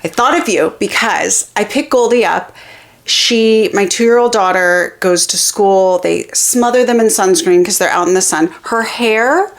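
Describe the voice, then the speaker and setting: A woman's voice: mid-range voice, unhurried. A woman co-hosting a podcast, telling everyday anecdotes frankly and casually, often quoting herself and other people. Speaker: podcast co-host. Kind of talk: casual chat.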